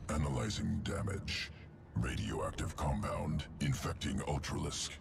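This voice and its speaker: A man voicing a cold, clinical alien creature in a video game, with a gravelly growl and clipped, detached phrasing. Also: extremely low pitch, expressive, a little slow, very noisy, heavily acted. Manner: clipped, detached, omits small words. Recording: music under the voice.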